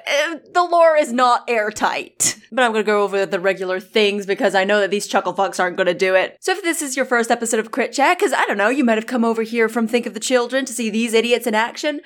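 A woman's voice: on the high side, a bit bright. A woman giving an informal mid-show podcast announcement in a wry, irreverent, sweary way.

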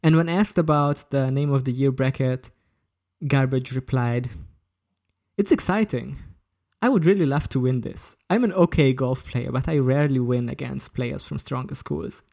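A man's voice: medium pitch, normal speed, gravelly, a little mellow. A man presenting a story on a casual comedy podcast, partly reading from notes. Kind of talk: casual presentation with read-out excerpts.

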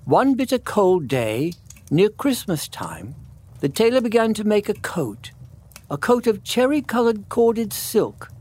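A man narrating a classic children's story in measured phrases with dramatic pauses. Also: high voice, sing-song, a little mellow, husky.

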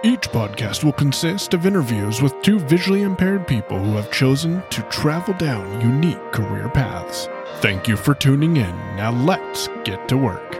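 A man's voice: mid-range voice, lively intonation, moderate pace. A man reading a scripted podcast introduction as its announcer. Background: loud intro music.

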